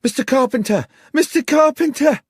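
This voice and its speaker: Audiobook young woman, performed by a male narrator: high, shrill, frantic, village messenger in a panic. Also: normal speed, no echo, clean studio sound. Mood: panicked. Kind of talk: audiobook character voice.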